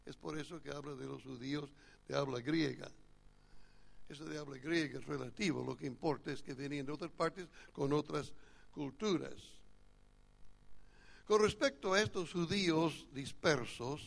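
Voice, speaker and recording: A man whose first language is English preaching a sermon at a church retreat in Spanish with an American accent, in a measured, explanatory teaching manner. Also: fairly high pitch, gravelly.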